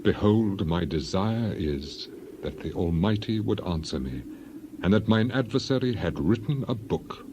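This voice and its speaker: A man's voice: on the low side, animated, measured pace, muffled recording, background noise. A man playing a proud, suffering man defending his innocence in dramatized scripture, solemn and declamatory. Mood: solemn, defiant. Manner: declamatory, solemn, archaic diction.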